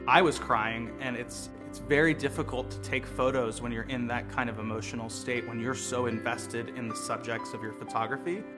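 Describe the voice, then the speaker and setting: An American man, a lawyer and street photographer, speaking in an earnest, measured, reflective way in a documentary interview. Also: mid-range voice, fairly even intonation. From United States. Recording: music bed playing behind voice.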